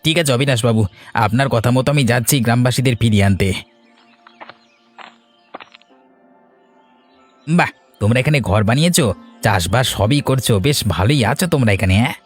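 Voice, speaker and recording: A man's voice: medium pitch, quick pace, soft background music, lightly acted. An actor playing a landlord's loyal, repentant henchman in a moral-tale cartoon, explaining things earnestly.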